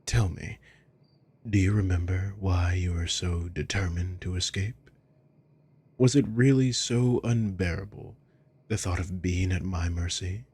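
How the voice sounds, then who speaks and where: A man playing a sadistic, controlling villain in an ASMR roleplay, breathy and husky, speaking with slow, condescending, taunting deliberation. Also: deep voice, fairly even intonation, a little mellow. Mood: menacing, possessive. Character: sadistic, controlling male villain toying with a captive.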